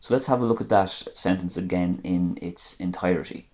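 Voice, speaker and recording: An Irish man with a very deep voice gives a language lesson to camera. He explains phrases patiently and pronounces each one slowly and carefully. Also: a little mellow. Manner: slow, careful phrase-by-phrase pronunciation.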